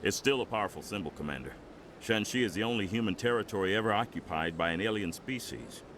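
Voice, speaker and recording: An actor playing an earnest, smooth nationalist politician in a video game, formal and rhetorical. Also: male voice, on the low side, animated, quick pace, a bit bright, slight background noise. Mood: defensive, firm. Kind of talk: video game dialogue acting.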